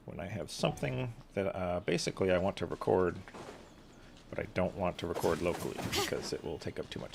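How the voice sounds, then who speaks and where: Very deep, gravelly male streamer. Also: background noise. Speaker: game streamer. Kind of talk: casual live stream commentary. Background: music.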